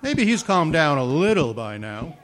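A man's voice: a little high, big pitch swings, moderate pace, slight background noise. A man playing a mild, bashful, earnest schoolteacher in an old-time radio comedy, hesitant and naive in manner. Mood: shy, amiable. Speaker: actor in a radio play.

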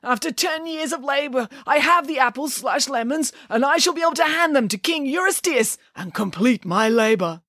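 A woman's voice: expressive, normal speed, hardly any pauses, fairly bright tone, no echo, clean studio sound. A woman voicing an honourable but dim-witted male hero, earnest and naive, in a storybook reading. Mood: triumphant. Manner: earnest, naive, squeaky exclamations.

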